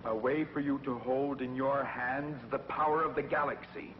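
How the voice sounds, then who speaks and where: A cunning adviser who flatters his mistress and coaxes her toward bigger conquest, as a man plays him. His voice rings out with a long reverb tail. The sound is muffled like an old TV soundtrack. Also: mid-range voice, normal speed, slight background noise, close to an everyday voice. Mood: persuasive. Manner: persuasive, flattering. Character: scheming male adviser to a villain. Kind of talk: 1950s TV drama acting.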